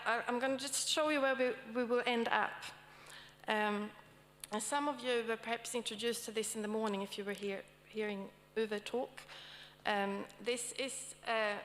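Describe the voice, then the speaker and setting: A woman's voice: on the high side, a bit bright. A woman with a Swedish accent moderating and opening a conference session, checking in with the audience often between fillers. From Sweden. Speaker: session moderator and academic speaker. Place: conference hall. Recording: long hall reverb, faint hum.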